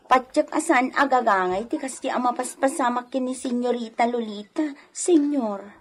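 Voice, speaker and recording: An actress playing a worried, loyal household servant in a radio drama, anxious and deferential, with a Filipino accent. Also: female voice, fairly high pitch, moderate pace, lightly acted. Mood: anxious reporting. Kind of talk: Ilocano radio drama acting.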